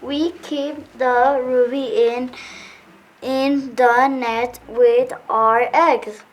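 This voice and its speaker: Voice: high voice, steady tone, extremely slow. An amateur performer with a youthful female voice playing a helpful storybook dragon in reader's theater, reading carefully. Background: faint music.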